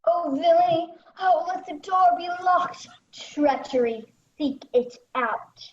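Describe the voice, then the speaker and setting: A woman's voice: very high voice, slow. A young woman playing a resolute, brooding young prince in a Shakespearean radio play. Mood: furious. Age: young adult. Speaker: student actor. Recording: muffled, noisy home recording.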